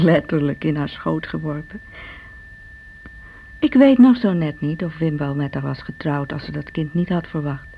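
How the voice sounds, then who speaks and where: An actress playing an envious, bitter sister, confiding her brooding recollections in a radio drama. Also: low voice. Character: Irene, envious, bitter divorced sister, self-doubting. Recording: dull radio recording with faint hum.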